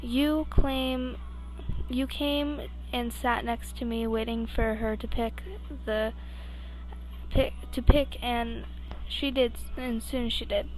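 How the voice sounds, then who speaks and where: A woman's voice: on the high side, fairly even intonation, slow, darkish tone, rough recording. A young woman reading fan fiction aloud informally, stumbling over words and breaking off into asides. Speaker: amateur podfic reader.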